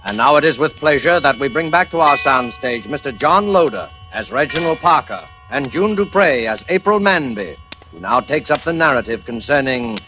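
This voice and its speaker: A man's voice: medium pitch, expressive, moderate pace. A man formally and dramatically introducing a 1940s radio drama as its scripted announcer. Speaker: programme announcer. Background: music.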